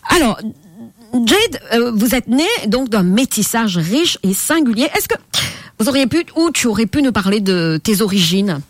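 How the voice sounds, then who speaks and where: A woman's voice: a little high, big pitch swings, moderate pace, dry recording. A woman hosting a radio health show, asking her guest questions one after another. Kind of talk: radio interview. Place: radio studio.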